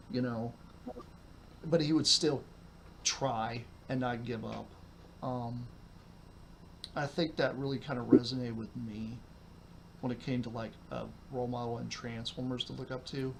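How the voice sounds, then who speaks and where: A man's voice: medium pitch, gravelly, slight background noise. A man chatting casually on a podcast, rambling with lots of fillers. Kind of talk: casual chat.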